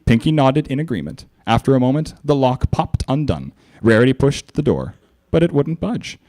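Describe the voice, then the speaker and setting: A man giving a theatrical, animated live reading of fan fiction as narrator and presenter. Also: mid-range voice, normal speed.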